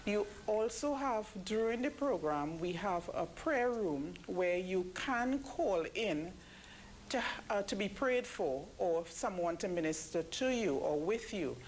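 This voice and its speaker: A woman giving measured, explanatory answers as an interview guest. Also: mid-range voice, animated, a little mellow. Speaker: interview guest, event committee member. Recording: clearly noisy background ambience.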